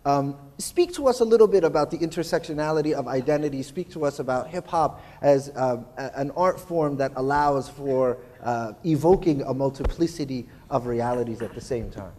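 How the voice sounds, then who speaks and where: Halting man moderating in a big hall. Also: mid-range voice, darkish tone, slight background noise. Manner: halting, many filler sounds. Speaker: panel moderator. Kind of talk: moderating a panel discussion. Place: convention hall stage. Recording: long reverb of a large hall.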